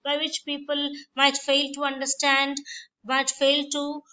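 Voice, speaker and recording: A woman's voice: sits high, completely flat delivery, a little slow, bright, forceful tone. A woman lecturer explaining points in a classroom lecture, repeating herself with fillers. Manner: repetitive explanatory delivery with fillers. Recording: dull lo-fi recording.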